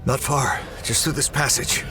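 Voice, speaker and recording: An actor playing a grim, weary, commanding space ranger in a sci-fi audio drama, with stern, measured delivery. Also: male voice, mid-range voice, steady tone, over loud music, close to an everyday voice. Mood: gruff, guarded.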